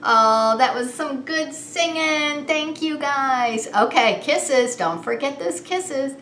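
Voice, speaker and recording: A woman hosting story time as a preschool teacher, reading in a sing-song, animated way and coaxing small children with questions. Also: high voice, slow pace. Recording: roomy echo with some background noise.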